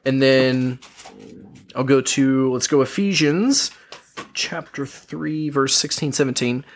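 A man co-hosting a podcast, reading Bible verses and explaining them earnestly, with a measured pace and some restarts. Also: medium pitch, steady tone, a little hiss or hum. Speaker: podcast co-host.

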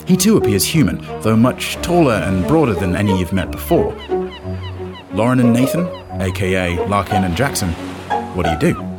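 A man narrating a tabletop role-playing game as its game master, descriptive and quick-witted. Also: lowish voice, very animated. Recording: loud music behind. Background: music.

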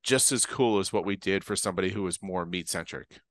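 Deep-voiced chatty man, dry sound. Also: fairly quick, a bit bright, no room sound. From Boston. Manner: enthusiastic, rambling with restarts and fillers. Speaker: chef guest. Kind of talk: casual interview chat.

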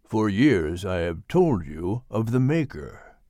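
A man voicing a wise, calm male mentor appearing as a vision, solemn and measured, in an audiobook. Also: on the low side, dry recording, studio quality, pitched below the performer's own voice, heavily acted. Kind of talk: audiobook character voice.

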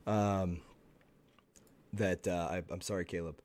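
A man co-hosting a casual gaming podcast, with a hoarse, raspy voice and an animated way of jumping in. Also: deep voice, unhurried, background noise. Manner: animated, interrupts often. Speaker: podcast co-host.

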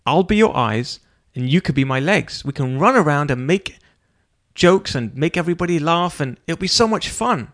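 A man voicing a cheerful, eager elderly man in a wheelchair, talking in excited, pleading bursts. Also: mid-range voice, moderate pace, gravelly.

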